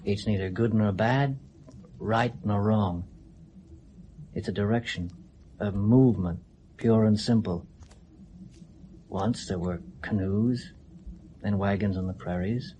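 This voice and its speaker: A man's voice: fairly low pitch, takes it slowly, long pauses, warm tone. An actor playing a controlled, emotionally guarded male astronaut in an old radio play, on a noisy recording. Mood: detached. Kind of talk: 1970s radio drama acting.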